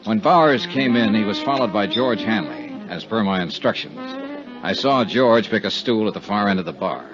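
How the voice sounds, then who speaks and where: An American actor playing a stubborn, sardonic investigator in a 1950s radio detective drama, with a wry, clipped, hard-boiled delivery. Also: male voice, fairly low pitch, lively intonation, normal speed, lightly acted. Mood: wry, brooding. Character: stubborn, sardonic male insurance investigator narrating his case. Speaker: lead actor and narrator. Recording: dull 1950s radio recording with music.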